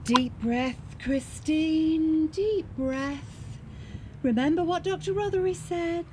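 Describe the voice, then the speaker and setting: High-pitched, anxious woman, frustrated campaigner. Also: takes it slowly. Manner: earnest, agitated, self-questioning. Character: anxious, frustrated woman who ran a domestic abuse service. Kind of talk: radio drama monologue, talking to herself. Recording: clear background ambient noise.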